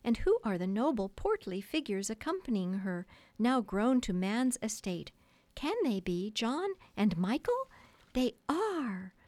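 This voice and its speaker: A woman voicing a motherly little girl who tells a story earnestly and grandly, gently scolding and theatrical. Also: on the high side, very animated, unhurried, no echo. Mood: grand, dramatic.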